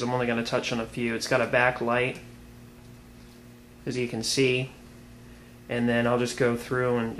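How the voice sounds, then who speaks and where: An American man giving an informal product demonstration as a video reviewer, plain-spoken and unhurried. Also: mid-range voice, a bit bright. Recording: clearly noisy amateur video sound.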